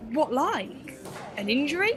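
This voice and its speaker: A woman's voice: high voice, big pitch swings, a little slow, fairly bright tone, exaggerated voice. A woman playing a nosy, teasing kitchen worker in an audio drama, inquisitive and playful. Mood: eager, nosy.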